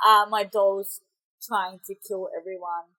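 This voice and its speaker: A young Australian woman talks through a trailer reaction in a loose, rambling way. She often corrects herself mid-sentence. Her tone is bright and forward, with a stuffy, congested edge from a cold. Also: medium pitch, steady tone, measured pace, low-quality recording. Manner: chatty and rambling, self-correcting. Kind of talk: casual reaction commentary.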